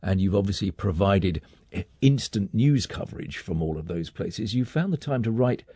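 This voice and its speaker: A man's voice: fairly low pitch, animated, moderate pace, dark tone, husky, dry recording, studio quality. A man presenting and interviewing on a radio programme. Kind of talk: broadcast interview.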